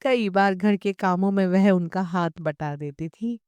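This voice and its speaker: A woman reading a literary short story as an audiobook narrator, in measured, expressive storytelling. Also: mid-range voice, clear, resonant tone, no room sound.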